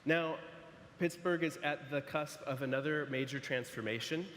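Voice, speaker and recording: A man's voice: a little high, moderate pace, fairly bright tone. A man from San Francisco giving a conference presentation as an architect, often restarting his sentences with fillers. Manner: hesitant, frequent restarts and fillers. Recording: echoey hall, faint noise.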